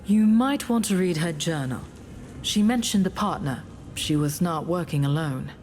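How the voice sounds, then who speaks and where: A woman playing a solemn, earnest heroine in a fantasy game, speaking in a measured, serious way. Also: fairly low pitch, animated, darkish tone, slight background noise, close to an everyday voice. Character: solemn gauntlet-wielding heroine, earnest and steady. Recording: music behind.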